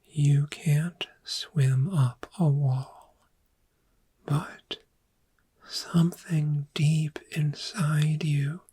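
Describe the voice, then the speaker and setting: Whispery, low-pitched, extremely unhurried, gentle dragon therapist played by a woman, soothing ASMR guided visualization. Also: heavily acted. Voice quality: breathy, whispery. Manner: hushed, slow, deliberate, soothing. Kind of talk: soft-spoken ASMR guided imagery.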